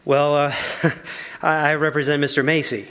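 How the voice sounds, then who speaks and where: A man's voice: fairly high pitch, level tone, measured pace, fairly bright tone, clean tone. A man playing a timid, obsequious company underling in a radio drama, stammering nervously. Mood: nervous, ingratiating. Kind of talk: stage radio drama acting. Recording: echoey hall, long reverb.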